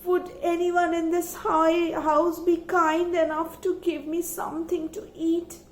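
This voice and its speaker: A weary, crafty soldier from a children's folktale, as a woman storyteller puts him on. The voice is high and slow, with polite coaxing. It sounds in an echoey room. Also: flat delivery. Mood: weary, pleading. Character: hungry, tired soldier, polite and crafty man.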